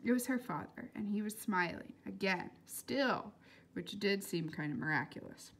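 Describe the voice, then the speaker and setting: A woman reading a storybook aloud as an expressive narrator. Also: medium pitch, normal speed.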